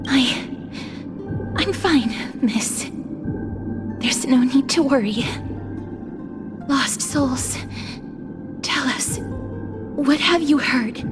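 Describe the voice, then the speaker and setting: Soft frail mystic lady from a video game, high voice, really slow, solemn and composed. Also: music in the background. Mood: reassuring, then solemn, hushed. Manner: soft, solemn, formal, partly breathy. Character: gentle, composed, frail mystical woman who calls to souls.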